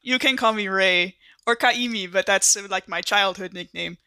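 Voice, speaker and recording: Woman doing a big buff friendly marine dude in an rpg, easygoing and eager to welcome you, bright voice. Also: medium pitch, normal speed, dry recording. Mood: easygoing, helpful.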